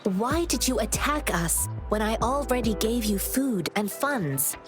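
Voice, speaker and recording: An actress voicing a calm, kind young woman wanderer in a game, measured and fond of proverbs. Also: fairly high pitch. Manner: serene, measured, speaks in proverbs. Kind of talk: video game voice acting. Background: music.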